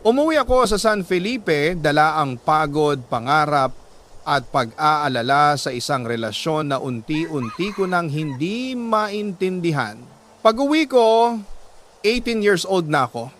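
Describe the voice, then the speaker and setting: Higher-voiced Filipino man telling sentimental stories. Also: expressive, unhurried, a bit bright, slight background noise. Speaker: radio-style drama storyteller. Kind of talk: dramatic first-person reading of a listener's letter.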